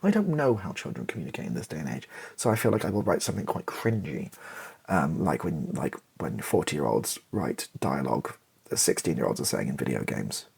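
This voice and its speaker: A British man doing casual, improvised ASMR, thinking aloud in hesitant fragments with a soft, breathy, partly whispered voice. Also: extremely low pitch, big pitch swings, brisk. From Britain. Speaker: ASMR video creator thinking aloud while writing.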